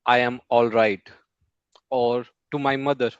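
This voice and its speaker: A man, a Hindi speaker, giving an impromptu speech at a speaking club in a slow, measured way with pauses between phrases. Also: mid-range voice, level tone, clean tone, no echo. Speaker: table topics speaker. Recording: dull close mic, dry.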